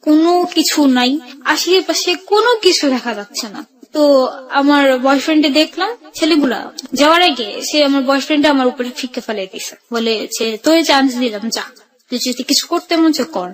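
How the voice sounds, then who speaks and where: A high-voiced woman from Bangladesh recounts her own life as a guest on a radio show. She speaks in colloquial Dhaka-accented speech and quotes people's words as she narrates. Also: moderate pace, poor audio. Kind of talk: personal life-story narration.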